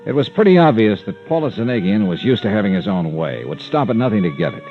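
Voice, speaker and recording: An actor playing a tough, skeptical insurance investigator in an old radio drama, terse, dry and blunt. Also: male voice, fairly low pitch, sing-song, fast talker, loud music behind the voice. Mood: wry narration. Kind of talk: 1940s-50s radio detective acting and narration. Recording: dull vintage radio recording.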